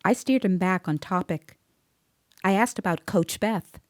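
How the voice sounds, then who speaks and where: An actress playing a composed, observant female interviewer, narrating an audio drama in a measured, precise way. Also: medium pitch, dry recording, clean studio sound, lightly acted. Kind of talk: scripted first-person dramatic narration.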